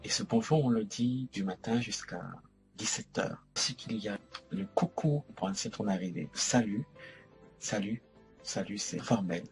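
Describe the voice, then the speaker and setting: Guy with a low voice doing a chatty explainer, kinda halting and repeating himself, faint music in the back. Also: rough recording. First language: French. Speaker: host of an educational video series. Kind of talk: informal explainer addressing the viewer.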